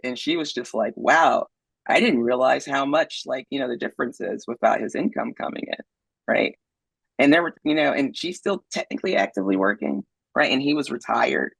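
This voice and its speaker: Gravelly, husky-voiced man, dry sound. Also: mid-range voice, fairly bright tone, no room sound, low-quality recording. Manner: conversational, often ends points with "right?". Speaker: podcast guest, investor. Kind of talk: conversational interview.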